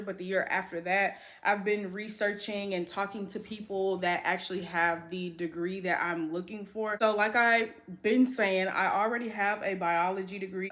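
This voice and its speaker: A woman's voice: mid-range voice, fairly bright tone. Young woman, beauty vlogger, casual chat, upbeat, lots of "like". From United States. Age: 20s.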